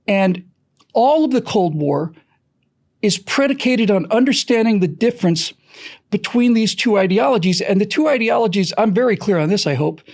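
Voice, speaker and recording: High-pitched, emphatic man. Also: a little mellow. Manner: emphatic, explanatory, with deliberate repetition. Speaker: podcast creator being interviewed. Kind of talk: opinionated historical storytelling in an interview.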